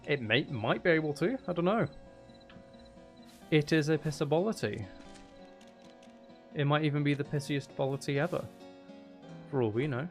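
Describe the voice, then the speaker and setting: A man's voice: fairly high pitch, normal speed, long pauses, faint music behind. A man chatting casually on a live gaming stream, rambling and half talking to himself as he plays. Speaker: game streamer.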